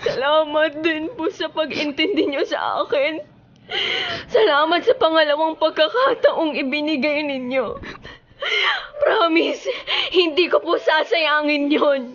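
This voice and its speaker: An actress playing a remorseful young student daughter in a Filipino radio drama, pleading earnestly and emotionally. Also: female voice, high-pitched, a little slow, muffled recording. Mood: tearful, remorseful. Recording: music under the voice.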